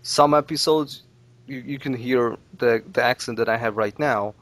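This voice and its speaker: A man's voice: medium pitch, moderate pace, a bit bright, dry recording. Earnest man, native Russian speaker, dry sound. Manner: earnest, advice-giving, peppered with "you know". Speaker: Let's Play video maker. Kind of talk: casual podcast interview.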